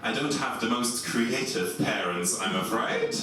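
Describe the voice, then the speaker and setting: A young Swiss man with a German accent, doing a stand-up set in a comedy club with a dry, deadpan delivery. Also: unhurried, distant mic. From Switzerland. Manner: dry, deadpan delivery with lively swings in pitch. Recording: echoey club recorded from afar, audience noise.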